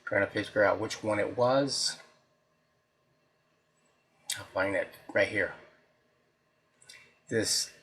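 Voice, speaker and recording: A man casually talking through a hands-on restoration as he works, folksy and halting, often muttering to himself in a husky voice. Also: fairly low pitch, fairly bright tone. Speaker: host of a radio repair video, hobbyist restorer. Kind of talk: casual unscripted workbench narration while handling the work.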